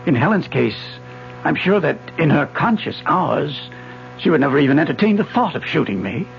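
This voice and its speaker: Obsessed old prof lecturing hard, gravelly lively voice, dull old radio sound. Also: male voice, fairly high pitch, normal speed. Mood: urgent. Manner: insistent, lecturing. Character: retired older male physics professor, obsessive and intense. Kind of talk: 1970s radio drama acting. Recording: muffled old radio broadcast with music.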